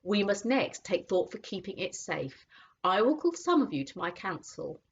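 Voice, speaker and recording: A woman voicing a majestic, wise male lion, speaking slowly and solemnly in a dull lo-fi audiobook recording. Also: mid-range voice, sing-song, slightly rough. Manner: slow and solemn.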